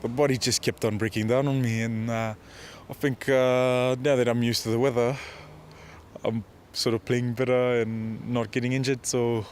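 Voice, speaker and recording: A man in his twenties with a Fijian accent answers interview questions as a rugby player, in a casual, modest and halting way. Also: medium pitch, flat delivery, darkish tone, slight background noise. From Fiji. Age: 20s. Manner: hesitant, modest, halting delivery.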